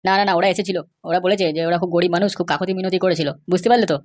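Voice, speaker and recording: A woman's voice: on the low side, fairly even intonation, rapid-fire, natural voice. A woman playing an evasive, scheming male schoolmaster who placates and repeats himself in a comedy cartoon. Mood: placating, evasive. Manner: placating, repetitive.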